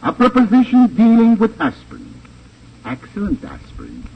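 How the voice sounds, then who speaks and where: An actor playing a smug, suavely menacing crime boss with a pompous, precise delivery in a 1950s radio drama. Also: male voice, sits high, huge pitch swings, normal speed, husky, exaggerated voice. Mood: smooth, persuasive. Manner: pompous, over-precise, relishing his words. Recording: dull, noisy old radio transcription.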